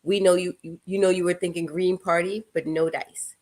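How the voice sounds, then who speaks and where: A woman's voice: fairly low pitch, steady tone, moderate pace, darkish tone. A woman from New York with a New York accent, co-hosting a morning show, joking around and reading news items aloud. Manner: laughing, playful, reads news items aloud. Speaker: co-host.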